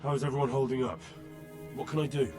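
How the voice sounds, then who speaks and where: An actor playing an earnest, polite young blacksmith who is eager to help, somewhat tentative, in a video game drama. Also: male voice, mid-range voice, level tone, fast talker, over music, natural voice.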